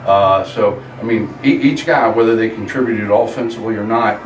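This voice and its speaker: A middle-aged man, a head baseball coach, answering at a post-game press conference, halting with many fillers and restarts. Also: on the low side. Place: press conference room with backdrop, indoors. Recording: echoey room, distant mic, background noise.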